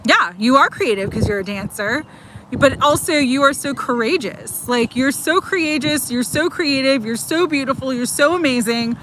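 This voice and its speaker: A woman's voice: high-pitched, moderate pace, a little hiss or hum, low-quality recording. Chatty woman in her forties doing a card reading. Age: 40s. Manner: warm, chatty, giggly, affirming. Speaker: oracle card reader. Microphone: livestream mic.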